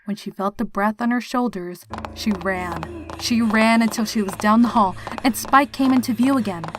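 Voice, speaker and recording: Female narrator, mid-pitched, scripted dramatic horror storytelling, music underneath. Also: moderate pace. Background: background music.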